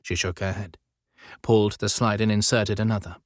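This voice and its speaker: A man's voice: on the low side, steady tone, moderate pace, no room sound. Dry-sounding male audiobook narrator. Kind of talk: scripted dramatic storytelling narration.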